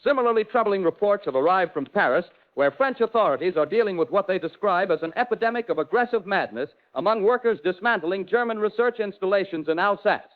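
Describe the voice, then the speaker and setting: A man's voice: high-pitched, animated, quick pace, few pauses. A man playing an earnest 1940s radio field correspondent, giving a formal, serious report over a filtered radio line. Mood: serious, urgent. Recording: tinny phone-like vintage radio line.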